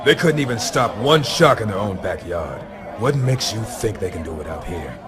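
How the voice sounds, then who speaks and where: A gruff, scornful shark-hunting captain, with a very deep voice that swings widely. He speaks bluntly and brushes off everyone around him. Music and crowd noise sit behind him in this game cutscene. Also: very animated, normal speed.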